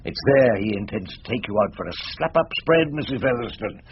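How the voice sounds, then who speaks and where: Guy acting crafty older british barrister, low voice that swoops around, wry and courtly, radio play. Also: expressive, fairly quick, lo-fi sound, a little hiss or hum, close to an everyday voice. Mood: smoothly persuasive.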